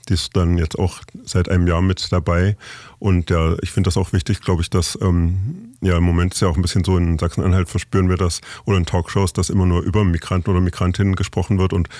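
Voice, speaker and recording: A man's voice: sits low, level tone, fast talker, a little mellow, dry recording, studio quality. A man presenting and interviewing on the radio in an informal style, with loose, conversational phrasing.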